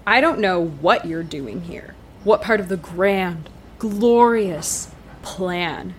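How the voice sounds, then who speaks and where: A woman's voice: fairly low pitch, slow, slight background noise. A woman acting a blunt, challenging fighter from the front line in an audio drama. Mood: confrontational, baffled.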